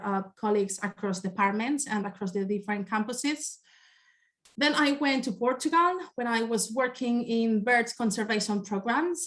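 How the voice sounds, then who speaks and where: A woman in her thirties from Spain with a Spanish accent, giving a webinar talk with many fillers and restarts. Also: fairly high pitch. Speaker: sustainability staff member presenting. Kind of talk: webinar presentation. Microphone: video call.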